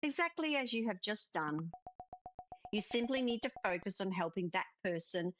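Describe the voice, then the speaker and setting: A woman's voice: medium pitch, lively intonation, normal speed, a bit bright, soft background music, lightly acted. A woman voicing a kindly spirit witch mentor in a cozy visual novel, patiently explaining and instructing. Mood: patient teaching. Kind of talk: cozy visual novel dialogue read aloud.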